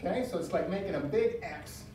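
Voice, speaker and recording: A man's voice: sits high, brisk, warm tone, far from the mic, slight background noise. A man in his thirties leading an adaptive fitness class, giving upbeat step-by-step cues and encouragement. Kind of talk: instructional exercise class. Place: large bare indoor room. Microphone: far camera. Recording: very echoey room, voice from afar.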